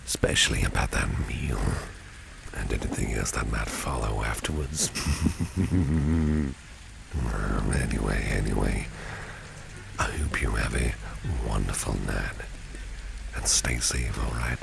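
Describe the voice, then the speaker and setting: Casting: male actor as suave protective boss for ASMR roleplay, extremely low, heavily creaky voice, flirtatious and soft-spoken. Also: a little slow, full character voice. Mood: tender, flirtatious. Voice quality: gravelly, breathy near-whisper. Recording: steady rain noise behind the voice. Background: rain and street ambience.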